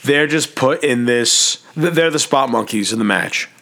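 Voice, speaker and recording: A man with a raspy, hoarse voice, hosting a casual wrestling predictions talk, enthusiastic and profane, rambling with restarts. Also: medium pitch, measured pace, sharp tone. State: hoarse, clearing throat. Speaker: wrestling podcast host.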